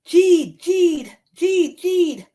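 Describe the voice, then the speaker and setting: Small noisy picture-book creature, voiced by a woman: high, squeaky, repeated nonsense calls. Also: animated, very slow, warm tone, full character voice. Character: small noisy animals: mosquito, cat, mouse, pig, monkey calls.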